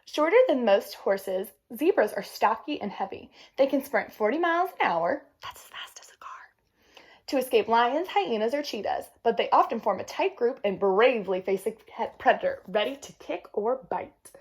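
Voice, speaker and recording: High-voiced, animated woman reading to children. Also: normal speed, husky. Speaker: read-aloud presenter. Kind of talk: reading a children's nature book aloud with chatty asides.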